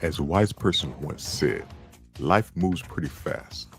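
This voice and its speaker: Deep-voiced reflective young man read by a man. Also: animated, a little slow, faint music behind, full character voice. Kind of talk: live script reading.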